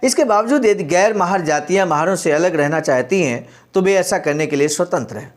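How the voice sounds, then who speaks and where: A man with a distinctly high-pitched voice reads and comments as a speaker would. His delivery is earnest and exhortative, moving between recited text and explanation aimed straight at the listener. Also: lively intonation, a little fast. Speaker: speaker reading and commenting on a historical speech. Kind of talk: read-aloud speech with earnest explanatory commentary.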